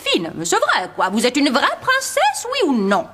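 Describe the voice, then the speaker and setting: Storybook queen: sky-high, very animated, haughty, theatrical, coaxing schemer. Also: normal speed, noisy background. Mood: sly, grand. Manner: grand, dramatic, coaxing. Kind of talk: animated fairy-tale dialogue.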